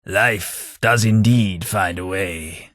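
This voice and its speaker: A prim, sardonic companion. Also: male voice, sits low, slow, a bit bright, no echo. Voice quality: dry, sardonic delivery. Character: prim, snobbish British male companion, dry wit. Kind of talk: video game companion lines.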